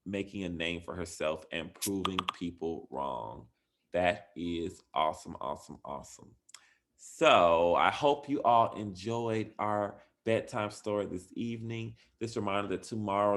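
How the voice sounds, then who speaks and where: Slow, low-voiced man reading a bedtime story. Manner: animated storytelling with big rises in emphasis. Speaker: school administrator. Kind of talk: reading a picture book aloud to children.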